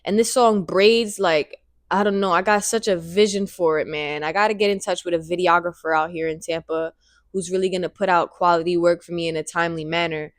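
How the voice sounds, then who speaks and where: Woman in her twenties, low-ish voice, dry close sound, rambling excited vlog talk into a handheld mic. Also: a little fast, no echo. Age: 20s. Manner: excited, rambling, repeats phrases. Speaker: vlogger. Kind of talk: casual vlog monologue. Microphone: handheld microphone.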